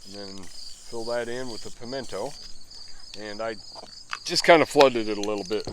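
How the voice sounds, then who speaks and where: A man's voice: fairly low pitch, normal speed, background noise. A man in his fifties giving a rambling, self-deprecating running commentary as he spray paints. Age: 50s. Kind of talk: running commentary while working.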